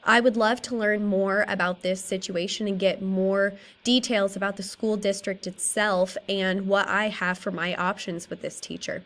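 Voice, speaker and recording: Slightly raspy woman presenting a case study. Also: medium pitch, moderate pace, few pauses. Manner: steady, methodical, works through a list of questions. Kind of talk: reading a prepared class presentation.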